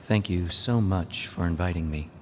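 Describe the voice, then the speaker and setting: An actor playing a reserved, gently terse older brother in a radio drama. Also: male voice, low voice, normal speed, soft background music, lightly acted. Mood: subdued. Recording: echoey hall, faint background noise.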